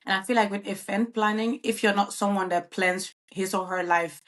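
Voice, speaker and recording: A woman's voice: medium pitch, fairly even intonation, normal speed, fairly bright tone. A bubbly woman. She answers interview questions casually, with frequent ums and uhs. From Netherlands. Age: 20s. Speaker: guest, event planner.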